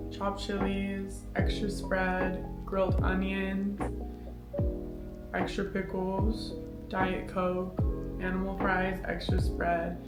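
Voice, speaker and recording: A woman in her twenties whose first language is Farsi, chatting casually to camera in a Q&A vlog, candid, laughing often and swearing freely. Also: medium pitch. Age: 20s. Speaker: vlogger. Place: small apartment living room, indoors. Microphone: camera in front, seated framing. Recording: echoey room with music behind.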